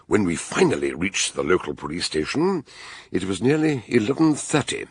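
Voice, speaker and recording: An actor playing an eccentric, learned British gentleman detective in a radio drama, husky and given to musing hesitations. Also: deep voice, big pitch swings, normal speed, no echo, full character voice. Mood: measured, narrating.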